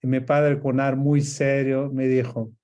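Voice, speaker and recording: A native Portuguese-speaking man with a Portuguese accent and Rioplatense traces, giving a reflective personal talk in a halting, thoughtful way. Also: mid-range voice, flat delivery, mellow. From Portuguese-speaking Africa. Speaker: guest speaker, coach. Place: at home, by bookshelves, on a video call.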